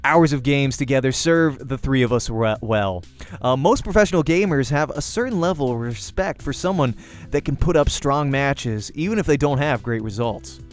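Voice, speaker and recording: A man's voice: mid-range voice, expressive, normal speed, soft background music. A man reading a visual novel aloud as a let's-player, narrating the game story expressively.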